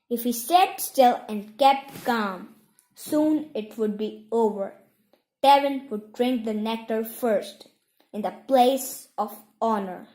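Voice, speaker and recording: A woman reads fiction aloud in a fairly high voice. Her narration is slow and measured, broken into short phrases. The recording is roomy and echoing. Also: dark tone. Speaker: audiobook reader. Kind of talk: scripted story narration.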